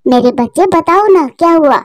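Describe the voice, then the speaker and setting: A high female voice as a woman acts out a cartoon mother bird. She is tender and coaxing, with an anxious, motherly care. The recording is very dry. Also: lively intonation, moderate pace, dry recording. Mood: worried, tender. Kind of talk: Hindi cartoon story character voice.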